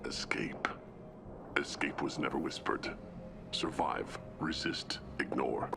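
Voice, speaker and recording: A man voicing a huge, simple, solemn tank-bred alien warrior in a video game, with a gravelly growl and halting phrasing. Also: a bass voice, in a whisper, music in the background, full character voice. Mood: solemn, resigned. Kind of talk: video game character acting.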